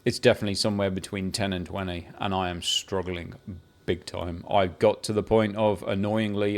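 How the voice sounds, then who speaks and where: A man chatting casually about a video game he has been playing, rambling with restarts and the odd swear, building into excited bursts. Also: low-pitched. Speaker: gaming video creator. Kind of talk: casual unscripted game talk to camera.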